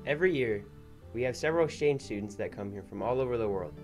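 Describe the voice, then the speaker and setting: Fast-talking teenage student reporter. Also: male voice, medium pitch, lively intonation, faint music behind. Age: teens. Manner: brisk, read-aloud. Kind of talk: scripted news narration.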